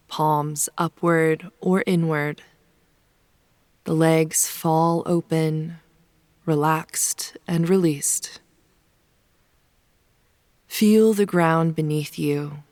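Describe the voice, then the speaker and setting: A woman leading a guided yoga nidra meditation in a soft, soothing, unhurried manner, with phrase ends sometimes hushed. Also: low voice, no echo. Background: soft pink noise.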